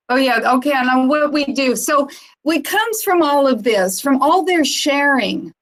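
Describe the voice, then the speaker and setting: A woman giving an informal, unscripted presentation on an online conference call, speaking in a halting, reflective way as an organisation's founder. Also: high-pitched, a bit bright, rough recording.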